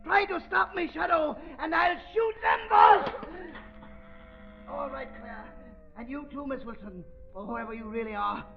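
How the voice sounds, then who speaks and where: A man's voice: very high-pitched, unhurried, vocal fry, exaggerated voice. A man playing a gloating, murderous doctor villain with theatrical, mock-tender menace in a 1930s radio drama. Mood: panicked, defiant. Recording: muffled vintage 1930s radio recording.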